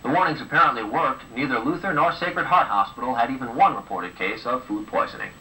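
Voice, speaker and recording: Bright, forceful male TV newsreader. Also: mid-range voice, animated, fairly quick. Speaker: news presenter. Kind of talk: scripted broadcast news narration. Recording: old home videotape of TV news, distant, hissy.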